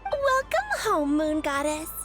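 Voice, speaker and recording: A woman's voice: high voice, sing-song, takes it slowly, music in the background, heavily acted. A woman voicing a small, mischievous, boastful furry mascot creature in a video game, cutesy and childlike. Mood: proud.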